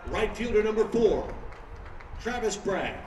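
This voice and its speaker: A man's voice: high voice, very animated, a little slow, a little mellow, echoey room, a little hiss or hum. A man announcing batters over a stadium public address system, heard from far off. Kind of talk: lineup announcement.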